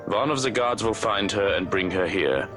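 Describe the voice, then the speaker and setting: A man's voice: lowish voice, lively intonation, normal speed, over music, close to an everyday voice. A man voicing a stern, dry, protective servant in a visual novel, speaking formally and precisely with a mild scolding tone. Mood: firm, pleading. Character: stern, dry, protective male servant to a troubled young master. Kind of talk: visual novel character acting.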